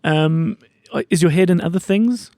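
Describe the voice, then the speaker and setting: A man from South Africa hosting a casual podcast interview, chatty and quick to agree. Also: high-pitched, darkish tone, no room sound, studio quality. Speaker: podcast host.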